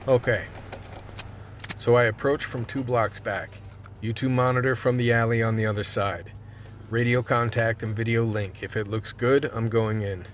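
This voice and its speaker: An actor playing a world-weary undercover vice cop, telling his story in a measured, hard-boiled way for a radio play. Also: medium pitch, steady tone, faint music behind, close to an everyday voice. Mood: brisk, determined. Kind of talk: scripted radio play narration.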